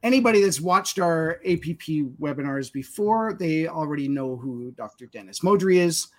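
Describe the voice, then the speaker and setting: A man's voice: on the high side, lively intonation, moderate pace. A man hosting a live webinar, introducing guests in a loose, friendly way with fillers. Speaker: webinar host.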